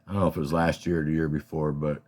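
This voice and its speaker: A man with a slightly scratchy voice, casually and haltingly talking through his collection as a hobbyist host. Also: very deep voice, little pitch movement, darkish tone. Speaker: collector hosting a card showcase. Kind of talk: casual rambling show-and-tell talk.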